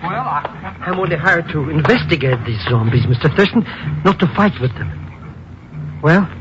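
An actor playing a nervous, cowardly, excitable hired sidekick in a 1950s radio drama. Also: male voice, on the high side, moderate pace, noisy background, lightly acted. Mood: nervous surprise. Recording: muffled old radio recording, music behind.

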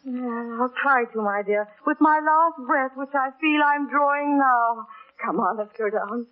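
An actress playing a witty, sharp-tongued young country gentlewoman with an arch, dryly teasing manner, in 1940s radio drama style. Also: high-pitched, measured pace, a little hiss or hum. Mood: wry, teasing. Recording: muffled old 1940s radio broadcast.

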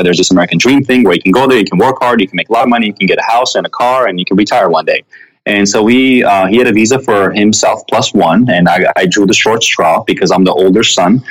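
Low-voiced man telling his story. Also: low-quality recording. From Armenia. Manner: earnest, frequent ums and self-corrections. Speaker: interview guest. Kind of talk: reflective personal storytelling.

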